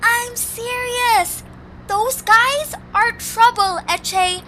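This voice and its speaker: Very high, headstrong little cartoon girl played by a woman. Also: extremely slow, very noisy, full character voice. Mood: suspicious, serious. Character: headstrong, suspicious young girl Zoombini, small cartoon creature. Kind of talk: amateur cartoon audio drama acting.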